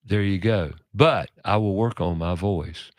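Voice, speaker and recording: A male radio host with a fairly low voice and a loud, passionate way of talking. He preaches his points with emphatic punches, recorded dry and close in a studio. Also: measured pace, no echo. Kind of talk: casual radio talk.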